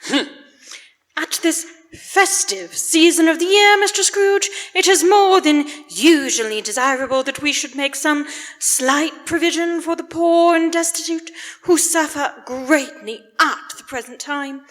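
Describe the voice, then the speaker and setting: A courteous, very high-voiced lady. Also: a little slow, fairly bright tone, faint music behind. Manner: formal, polite. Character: courteous, genteel charity missionary lady. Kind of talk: live radio-play acting. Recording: live in echoey church hall.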